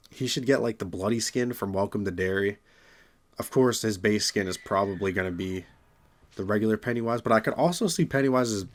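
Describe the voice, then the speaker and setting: Young man, casual creator chat, slightly lowish voice, still raspy after a cold, rambling with fillers. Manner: rambling, many fillers and restarts. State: raspy voice from getting over illness. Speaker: gaming video creator. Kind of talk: casual solo commentary.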